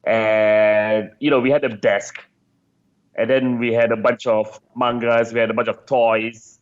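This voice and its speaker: A man's voice: mid-range voice, level tone, measured pace, highs cut off. A man being interviewed as a game designer, talking casually and chattily, often repeating words as he searches for phrasing.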